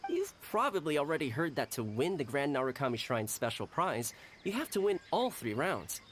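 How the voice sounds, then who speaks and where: A man's voice: a little high, normal speed, slight background noise. A man playing an easygoing, friendly young retainer in a video game cutscene, polite and upbeat. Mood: friendly, encouraging. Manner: friendly, relaxed, teasing. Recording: game music behind the voice.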